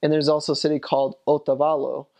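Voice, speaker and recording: A man's voice: fairly high pitch, flat delivery, quick pace, gravelly, a little mellow. A young man, a returned missionary, recalling his mission in a rambling, casual way with many fillers and restarts. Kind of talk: casual recollection.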